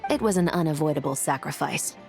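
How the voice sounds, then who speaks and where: A woman playing a stern, confident young special-forces officer in a video game, crisp, commanding and matter-of-fact. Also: low-pitched, fast, darkish tone, soft background music. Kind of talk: video game character dialogue.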